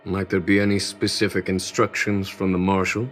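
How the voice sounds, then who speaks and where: An actor playing a calm, shrewd male general who speaks formally. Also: deep voice, slight background noise. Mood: composed. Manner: measured, formal. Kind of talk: game voice acting.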